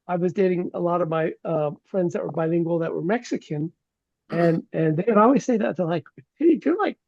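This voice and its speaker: Gravelly man on a dull, dry line. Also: high voice, expressive, a little fast, darkish tone, no room sound. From California. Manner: rambling, anecdotal, many restarts. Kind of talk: casual group discussion on video call.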